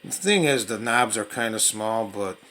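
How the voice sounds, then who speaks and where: A man's voice: lowish voice, animated, normal speed, pushed and bright, a little hiss or hum. A man giving a casual, unscripted product demonstration in a hesitant, rambling, folksy way. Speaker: radio reviewer demonstrating a portable radio.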